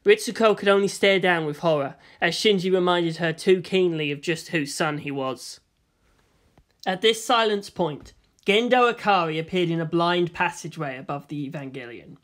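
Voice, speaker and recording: A narrator reading fiction aloud as a dramatic scripted narration, with a medium-pitched voice. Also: normal speed, a bit bright. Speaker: story narrator.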